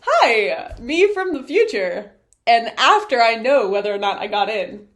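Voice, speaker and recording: Higher-voiced young woman vlogging. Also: big pitch swings, unhurried, over loud music. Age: young adult. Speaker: vlogger and college program applicant. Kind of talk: casual chat to camera.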